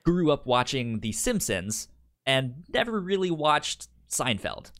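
A man co-hosting a podcast in casual, unscripted chat, with a stutter that repeats first syllables and a halting, explanatory way of talking. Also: mid-range voice, big pitch swings, a bit bright. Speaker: podcast co-host.

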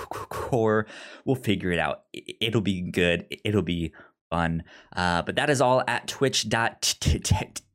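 A man's voice: fairly low pitch, fairly bright tone. A man hosting a podcast in a casual, conversational way, often stammering and repeating syllables as he goes. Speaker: podcast host.

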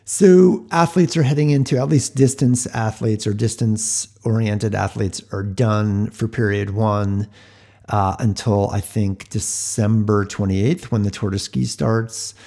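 A man hosting a casual sports podcast conversation, speaking in a measured, halting way. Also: fairly low pitch, steady tone, creaky, dark tone. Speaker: podcast host.

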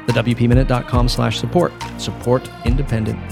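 A man's voice: mid-range voice, level tone, a little fast, vocal fry. A man reading an upbeat scripted podcast promo. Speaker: podcast announcer. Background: music bed.

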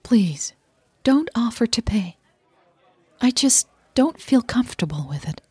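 A woman who is a native Dutch speaker, voicing a shy but playful, proud girlfriend in an audio story, softly earnest. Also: a little high, big pitch swings, measured pace, breathy edge, no echo, a faint crowd, pitched above the performer's own voice, exaggerated voice. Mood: earnest, uneasy.

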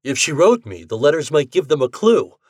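A man reading an audiobook, voicing a gruff, desperate young male bookmaker who talks bluntly in slang. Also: a little high, very animated, fairly quick, a little mellow, no echo, clean studio sound, pitched above the performer's own voice. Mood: anguished. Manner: blunt slangy talk, rising to pleading.

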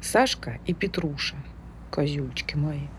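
A testy older woman in a naturalistic play, pitched high. She is superstitious and curt, with homely everyday speech. Traffic is clearly heard around her. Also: expressive, a little slow. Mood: defensive, doting. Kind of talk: naturalistic stage dialogue. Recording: street ambience with vehicle noise.

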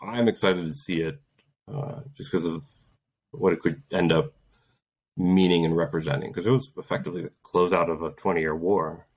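Low-voiced man in his thirties, earnest guest storyteller, reflective, laughs now and then. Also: fairly even intonation, moderate pace, darkish tone. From Poland. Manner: earnest, reflective, laughs while telling stories. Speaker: guest, Green Beret and author. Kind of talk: casual interview storytelling.

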